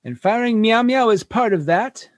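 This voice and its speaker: A man's voice: sits high, animated, moderate pace. A man playing an exasperated, tactless human starship captain in a sci-fi radio comedy, blustering and pleading as he talks.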